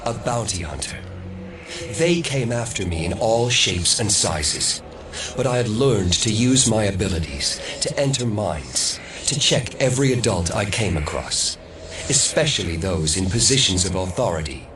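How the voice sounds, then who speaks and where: A haunted, defiant storyteller in an audio drama. His lowish voice is deliberate and brooding, set back from the mic amid clear background noise. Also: sing-song, far from the mic. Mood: intense, defiant. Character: haunted, defiant man with psychic powers, recounting his past.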